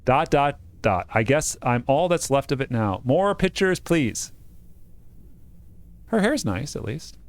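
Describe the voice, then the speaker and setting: A man's voice: mid-range voice, expressive, takes it slowly. A man doing a casual let's-play, reading game narration aloud and joking about the text as he goes. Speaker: game streamer reading a visual novel aloud.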